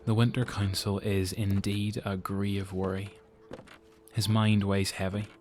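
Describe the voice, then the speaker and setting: An actor narrating a post-apocalyptic audio drama as a grave, measured storyteller with a Northern Irish accent. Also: male voice, on the low side, little pitch movement, a little mellow, faint music behind, lightly acted. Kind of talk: scripted narration.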